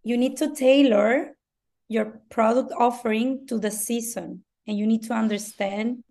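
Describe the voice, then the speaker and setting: A woman from Mexico with a Mexican Spanish accent, Spanish as her first language, chatting on a podcast and often pausing to search for words. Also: on the high side, steady tone, gravelly, a little mellow. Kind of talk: casual podcast chat.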